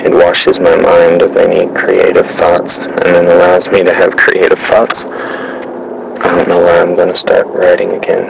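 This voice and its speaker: A man recording a personal audio diary, talking in a quiet, brooding, introspective way over a noisy recording. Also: low voice, monotone, measured pace, vocal fry. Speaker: audio log narrator.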